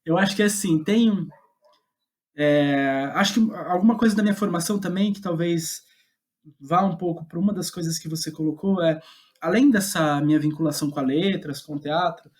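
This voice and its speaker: A man with a Brazilian Portuguese accent speaking as an interview guest, casual and reflective, halting as he thinks aloud. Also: a little high, a little mellow. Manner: hesitant, halting, thinking aloud with repeated words. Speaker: podcast guest, playwright.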